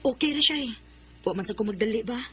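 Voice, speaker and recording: A woman's voice: on the high side, expressive, quick pace, fairly bright tone, slight background noise. A woman playing a lovestruck young tomboy who earnestly pleads in a radio drama. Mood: pleading.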